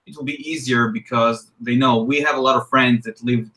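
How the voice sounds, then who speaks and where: A man with an Israeli accent, co-hosting a relaxed live chat. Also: medium pitch, brisk. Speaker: co-host.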